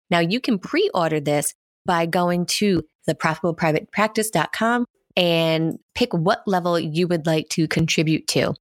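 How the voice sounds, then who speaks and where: Woman podcast host, low-pitched, dry sound, upbeat, playful chat. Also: dry recording. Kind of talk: casual interview and self-promotion.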